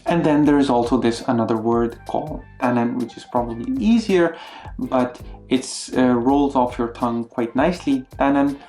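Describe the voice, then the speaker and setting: A young man giving casual, upbeat language tips, now and then acting out greetings with big jumps in pitch. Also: moderate pace. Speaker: language learning vlogger. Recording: soft music underneath.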